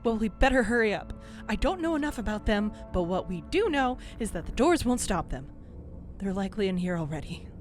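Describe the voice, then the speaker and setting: An actress playing a blunt, analytical scientist aboard a research ship, asking demanding questions in an audio drama. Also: female voice, medium pitch, sing-song, a little fast, faint music behind, lightly acted. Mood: reasoning.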